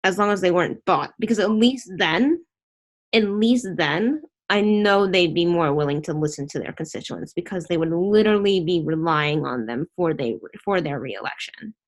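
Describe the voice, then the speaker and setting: Lower-voiced chatty woman interviewer. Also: animated, moderate pace. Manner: chatty, opinionated, rambling with restarts. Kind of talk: casual online interview.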